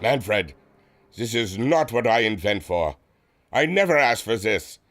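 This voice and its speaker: A man's voice: fairly low pitch, expressive, a little slow, music in the background. A man playing an earnest, remorseful inventor, formal and dramatic, in a pulp adventure drama. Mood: anguished resolve. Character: earnest, remorseful German inventor seeking redemption.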